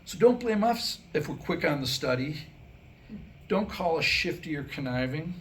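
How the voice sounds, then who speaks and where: A man with a slightly higher-pitched voice reads a comic script aloud to a live room. He tells history in a wry, joking way, posing rhetorical questions. There is light noise on the recording. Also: animated, moderate pace. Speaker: writer reading a comedic script aloud. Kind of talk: staged reading mixing comic monologue and history storytelling. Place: indoor staged reading with a few listeners reacting.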